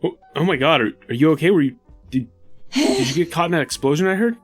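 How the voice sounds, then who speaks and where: A man playing a concerned, caring young male companion in a tabletop game, speaking haltingly and repeating himself. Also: fairly high pitch, sing-song, soft background music, voice pushed up. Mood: alarmed.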